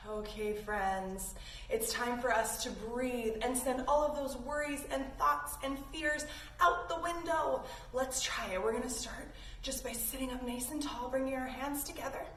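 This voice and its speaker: A woman's voice: sits high, steady tone, a little slow, fairly bright tone. A woman leading a playful yoga lesson for children, encouraging and speaking to them directly as friends. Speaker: kids yoga instructor. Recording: roomy echo, distant mic, faint noise.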